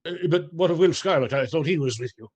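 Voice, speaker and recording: An actor playing a jovial, scolding friar in a radio play, with churchly phrasing. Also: male voice, fairly high pitch, lively intonation, quick pace, husky. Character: Friar Tuck, jovial portly priest. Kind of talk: radio drama acting.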